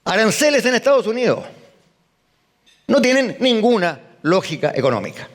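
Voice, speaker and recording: A man's voice: sits high, sing-song, moderate pace. An older man giving a conference keynote as an economist, emphatic, firing rhetorical questions and answering them at once. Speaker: economist speaker. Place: event hall.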